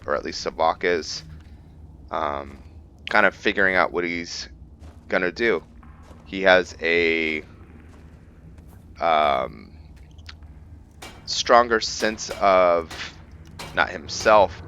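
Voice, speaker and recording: A low male voice with a bright, forward tone narrates a roleplay playthrough in a casual way. He pauses and thinks aloud as he works out what his character will do. There is noticeable noise behind him on what sounds like a home recording. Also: muffled recording. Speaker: gamer hosting a roleplay playthrough. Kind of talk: casual gameplay commentary.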